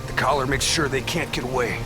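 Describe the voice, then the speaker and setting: An actor playing a gruff, hot-headed special forces soldier in a video game, brusque, commanding and profane. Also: male voice, mid-range voice, fairly even intonation, brisk, over music, lightly acted. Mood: grim. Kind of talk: dramatic video game acting.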